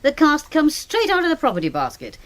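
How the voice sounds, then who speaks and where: An actress playing a wry British spinster crime writer in a radio drama, dry, ironic and articulate. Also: female voice, high voice, wildly swooping pitch, a little fast, fairly bright tone, heavily acted. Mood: wry, playful. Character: wry spinster sister, doctor and crime novelist, self-mocking.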